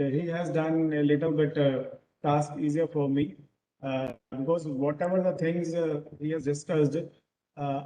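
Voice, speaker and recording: Punjabi-accented man lecturing, halting. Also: on the high side, warm tone, low-quality recording. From Punjab, India. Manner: hesitant, many filler pauses. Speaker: guest lecturer. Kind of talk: online lecture. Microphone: video call.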